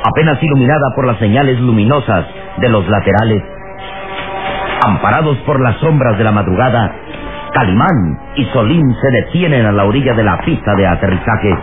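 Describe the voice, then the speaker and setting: Theatrical male narrator over loud music. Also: medium pitch, lively intonation, phone-quality sound. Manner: solemn, theatrical, drawn-out phrasing. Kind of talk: dramatic scripted radio serial narration. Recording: thin vintage radio sound, loud music.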